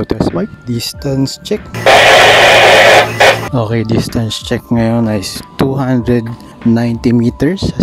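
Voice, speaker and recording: A man with a Filipino accent talking casually on a walking vlog, breaking off to make radio check-ins on a walkie-talkie. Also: mid-range voice. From Philippines. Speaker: vlogger testing walkie-talkies. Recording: loud music over the voice. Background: loud music.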